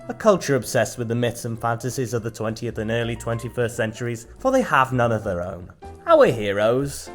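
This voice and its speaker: A man's voice: medium pitch, natural voice. An actor playing a cynical, world-weary mercenary swordsman who speechifies sardonically in an audio drama. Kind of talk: audio drama acting with narration.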